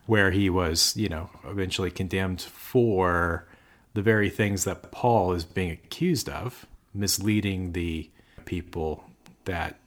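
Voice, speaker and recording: A man co-hosting a casual faith podcast, explaining scripture at length with joking asides. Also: sits low. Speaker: podcast co-host.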